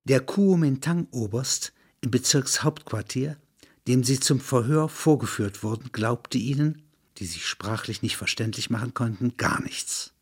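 Male narrator, mid-pitched and husky, literary reading with explanatory asides. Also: measured pace. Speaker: narrator and reader.

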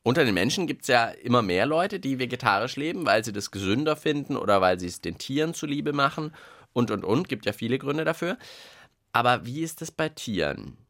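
A man's voice: medium pitch, sing-song, a little fast, fairly bright tone, dry recording. Man hosting kids' radio, dry close sound. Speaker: radio show host. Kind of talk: casual chat co-hosting a children's radio show. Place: radio studio. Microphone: close studio microphone.

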